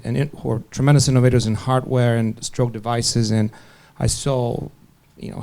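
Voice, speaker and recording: Gravelly dark-voiced man telling a story. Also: medium pitch. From Bulgaria. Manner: halting, many restarts and fillers. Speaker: physician panelist. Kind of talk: panel discussion storytelling.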